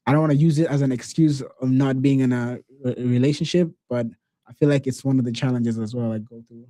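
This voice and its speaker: A man hosting a podcast, chatting casually in a relaxed, slangy way and often saying "bro". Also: mid-range voice, a little fast. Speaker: podcast host.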